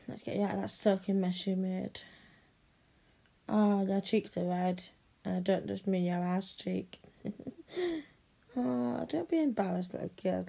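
Woman for a teasing, commanding audio roleplay: dark, breathy tone, unhurried. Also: medium pitch. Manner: slow, domineering and teasing, slipping into whispers. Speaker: roleplay performer.

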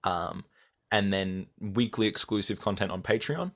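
A man's voice: on the low side, expressive, unhurried, a bit bright. An Australian man, an audio content creator, answering interview questions in a rambling way full of fillers. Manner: rambling, filler-heavy, repeats words while thinking. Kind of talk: casual conversational interview.

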